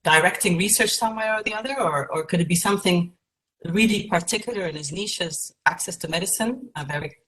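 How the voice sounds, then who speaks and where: A woman from Egypt gives a keynote lecture over a video call. Her voice sits fairly low, and she speaks in a measured way, pausing on fillers and restarts. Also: a bit bright, poor audio. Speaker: keynote speaker, patient advocate.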